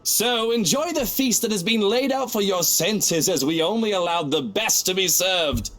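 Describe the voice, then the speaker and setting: A man's voice: sits high, expressive, moderate pace, a bit bright. A man voicing a pompous, grandiose aristocratic party host in a visual novel game. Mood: grand, welcoming. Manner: flowery, grandiose, commanding.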